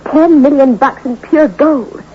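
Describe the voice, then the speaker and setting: An actress playing a scheming young femme fatale in an old radio drama, coaxing and persuasive. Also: female voice, sits high, measured pace. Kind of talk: 1950s radio drama acting. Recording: dull, hissy old radio recording.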